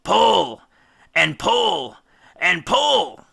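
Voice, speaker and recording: Man acting a loud, commanding sea captain, forceful. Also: high-pitched, sing-song, very slow, vocal fry, breathy edge, pitched above the performer's own voice, full character voice. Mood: driving, forceful. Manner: loud, urgent shouted orders. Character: commanding male sea captain urging on his rowers. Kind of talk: dramatic storybook reading.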